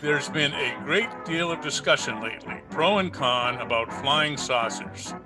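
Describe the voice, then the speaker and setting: A man's voice: fairly high pitch, expressive, measured pace, loud music behind the voice. A man working as announcer, reading scripted narration in the style of old-time radio, recorded over a video call.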